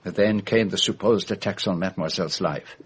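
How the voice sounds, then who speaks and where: An actor playing a fussy, shrewd foreign gentleman detective, speaking in precise, formal phrases in a radio drama. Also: sits low, a little fast, breathy edge. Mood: measured explanation. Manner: precise, theatrical, formal phrasing with French forms of address.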